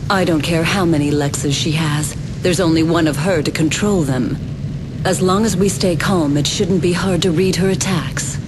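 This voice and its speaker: A woman voicing a composed, cool-headed warrior woman in a video game, calm and dryly needling. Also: fairly low pitch, normal speed, background noise, lightly acted. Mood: steady. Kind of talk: video game cutscene acting. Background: music.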